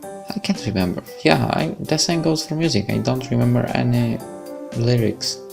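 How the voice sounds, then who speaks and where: A man's voice: mid-range voice, expressive, moderate pace, vocal fry, over music. A young man with a Polish accent, reading a visual novel aloud and chatting, stumbling over words. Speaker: let's play host.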